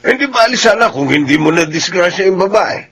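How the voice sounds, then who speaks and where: A gravelly stern father. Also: sits high, expressive, a little fast, fairly bright tone, exaggerated voice. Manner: reproachful, lecturing. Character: stern older father-in-law.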